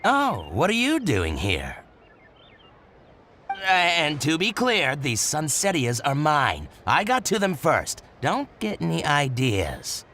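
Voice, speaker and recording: An actor playing a fussy, possessive, excitable boutique owner in a scripted video game, with a theatrical, calculating manner. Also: male voice, a little high, slow pace, a little hiss or hum, heavily acted. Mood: possessive, suspicious. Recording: game music behind the voice.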